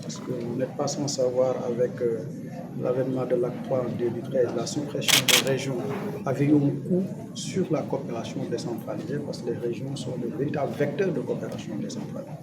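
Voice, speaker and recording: A man's voice: medium pitch, steady tone, brisk. A man with a Senegalese accent giving an unscripted, formal and didactic statement to the press as an official. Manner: formal and didactic, posing and answering his own questions. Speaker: government official. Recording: loud background noise, event ambience.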